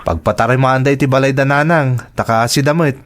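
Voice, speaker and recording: A man's voice: medium pitch, a little fast, close to an everyday voice. An actor playing a generous, devoted young man in an Ilocano audio drama. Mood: eager, respectful. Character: generous, devoted young man sending money to his girlfriend. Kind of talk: radio drama acting in Ilocano.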